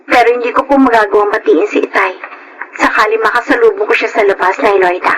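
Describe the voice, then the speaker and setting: An actress playing a resentful, outspoken daughter in a Filipino radio drama, blunt and reproachful. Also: female voice, on the high side, quick pace, fairly bright tone, a little hiss or hum, natural voice, rough recording. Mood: hurt, resolute.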